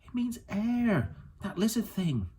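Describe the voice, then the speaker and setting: A man's voice: sits high, big pitch swings, takes it slowly, dark tone, pitched above the performer's own voice, exaggerated voice. A man voicing a curious, bold young girl in an audiobook, eager in manner. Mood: excited.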